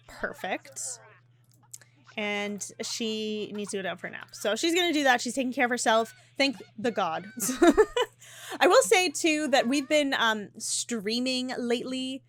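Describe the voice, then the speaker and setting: A woman casually commenting on her gameplay, chatty and animated, with frequent ums and laughs, her voice slightly hoarse. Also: on the high side, measured pace. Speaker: gaming YouTuber.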